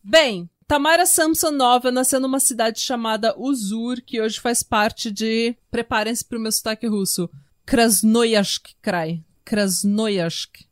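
High-voiced Brazilian woman telling true-crime stories. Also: moderate pace, few pauses. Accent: Brazilian Portuguese. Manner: informal, conversational, full of asides. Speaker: podcast host. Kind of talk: casual true-crime storytelling among friends.

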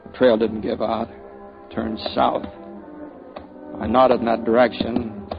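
An actor playing a calm, dry-spoken frontier gunman who narrates his own story in a 1950s radio drama. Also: mid-range voice, takes it slowly, creaky. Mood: laconic narration. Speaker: lead narrator and hero. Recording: dull old radio broadcast with music.

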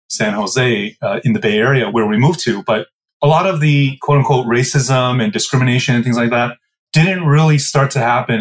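A man's voice: mid-range voice, rough recording. Reflective man in his forties. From Midwestern United States. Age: 40s. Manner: reflective, many filler "like"s. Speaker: guest, business co-founder. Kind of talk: casual interview answers.

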